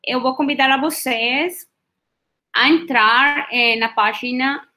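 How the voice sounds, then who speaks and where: A woman's voice: high-pitched, slow, pushed and bright. A woman from Colombia with a Colombian Spanish accent, leading an online workshop over a video call, with many hesitations and tag questions. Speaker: workshop facilitator.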